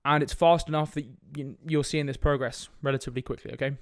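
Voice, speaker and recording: Young male podcast host, sniffly with a cold, direct and casual, slightly high voice, dry close mic. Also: steady tone, quick pace, no room sound. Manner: direct, casual, swears, frequent "right?" asides. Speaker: fitness coach podcast host. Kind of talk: casual solo podcast talk.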